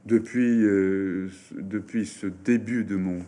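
Slow, hesitant man. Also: deep voice, steady tone, warm tone. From Japan. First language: Japanese. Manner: hesitant, halting, with frequent fillers and restarts. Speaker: writer and French teacher, interview guest. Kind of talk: interview answers.

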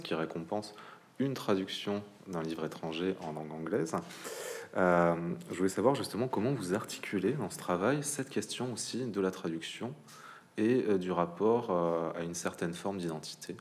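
A male interviewer asking long, winding questions full of fillers and restarts. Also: deep voice.

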